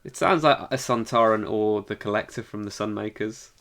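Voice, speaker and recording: A man's voice: on the low side, normal speed, creaky, fairly bright tone. A man co-hosting a podcast in casual chat, with a wry, offhand manner. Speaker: podcast co-host.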